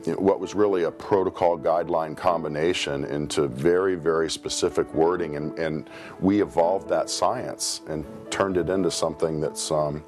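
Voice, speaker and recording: A man in a documentary interview, speaking in a measured, emphatic way about precise protocol wording. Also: very deep voice.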